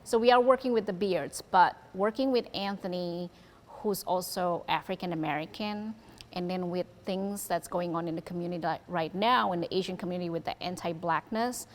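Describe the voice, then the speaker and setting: A woman's voice: lowish voice, moderate pace, slight background noise. A woman performer speaking earnestly and reflectively in a documentary interview. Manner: earnest and reflective, casual fillers.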